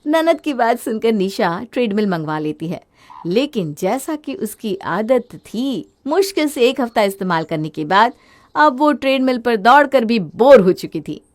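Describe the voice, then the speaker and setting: Woman reading out a family moral story, high voice, scripted storyteller delivery. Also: sing-song, moderate pace.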